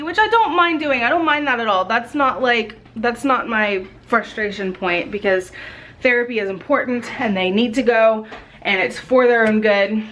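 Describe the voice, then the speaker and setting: A woman's voice: on the high side, fairly bright tone, slight background noise. A woman talking informally and candidly, as a mother vlogging about daily life in a casual, chatty style. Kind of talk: casual vlog chat.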